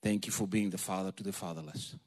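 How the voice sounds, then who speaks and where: A man's voice: low-pitched, fast talker. Man preaching a sermon. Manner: lively, joking, storytelling, calls for amen.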